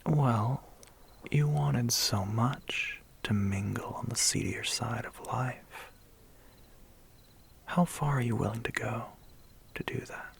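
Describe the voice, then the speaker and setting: A man's voice: medium pitch, gravelly, breathy edge, exaggerated voice. A man playing a seductive, predatory vampire biker in an ASMR roleplay, intimate, teasing and theatrical. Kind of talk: whispered ASMR roleplay acting.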